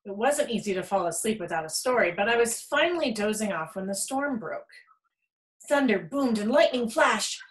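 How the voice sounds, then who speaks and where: Lady reading a picture book out loud for kids, super animated, pitch all over. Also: moderate pace, poor audio. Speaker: storybook reader. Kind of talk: expressive children's picture book read-aloud.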